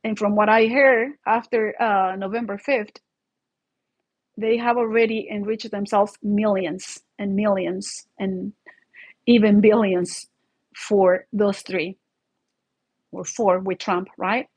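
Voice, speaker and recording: A woman's voice: mid-range voice, steady tone, slow pace, darkish tone. A woman from Mexico with a Mexican accent and Spanish as her first language hosts an informal solo vlog, talking in a rambling, conversational way and often checking for agreement.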